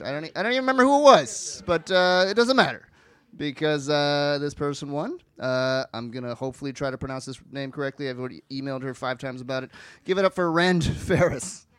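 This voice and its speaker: Man, host of an open reading, casual rambling intros, mid-pitched voice. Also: fast talker, fairly bright tone, dry recording. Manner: rambling, self-correcting. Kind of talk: casual emcee patter.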